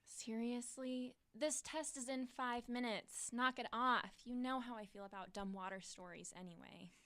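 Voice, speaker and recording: A woman's voice: fairly high pitch, steady tone, normal speed, a bit bright. An actress playing an exasperated, sardonic teenage schoolgirl in an audio drama. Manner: dry, sardonic.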